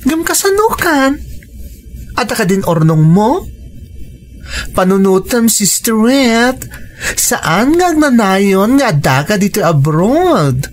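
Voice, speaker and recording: An actress in a radio drama playing a sassy, frank friend, chiding with drawn-out emphasis. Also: female voice, mid-range voice, very animated. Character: sassy, frank female friend and co-worker abroad.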